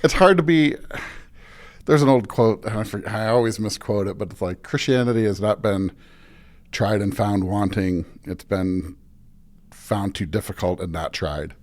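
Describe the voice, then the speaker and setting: A man's voice: fairly low pitch, normal speed. A man speaking as a pastor in a thoughtful interview, earnest and reflective, often repeating phrases for emphasis. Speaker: pastor and podcast guest.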